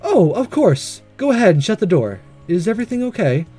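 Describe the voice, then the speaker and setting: Man voicing gentle, measured woman school principal. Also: high voice, big pitch swings, breathy edge, soft background music, heavily acted. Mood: calm, curious. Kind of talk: reading visual novel dialogue aloud.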